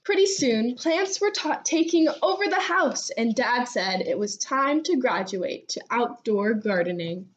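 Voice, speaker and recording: A woman reading a children's storybook aloud expressively, with animated delivery and drawn-out phrase endings. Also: on the high side. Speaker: read-aloud storybook reader. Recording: dull, lo-fi sound.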